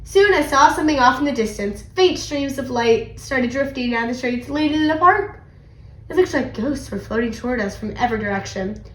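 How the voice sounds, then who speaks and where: Girly high voice lady reading a kids book out loud, really animated, bit echoey. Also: fairly quick. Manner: animated, acts out character dialogue. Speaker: read-aloud channel host. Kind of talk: expressive children's storybook reading.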